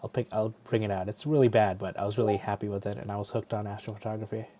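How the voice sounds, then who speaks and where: A man's voice: fairly low pitch, fairly even intonation, fast, mellow. A middle-aged man from Bangladesh hosting a casual live stream, chatty and loose, often restarting his sentences. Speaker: livestream host. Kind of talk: casual chat.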